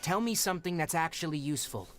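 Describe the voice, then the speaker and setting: An actor playing a blunt, impatient young forest ranger in a video game, curt and matter-of-fact. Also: male voice, fairly high pitch, animated, fast talker, a bit bright, slight background noise.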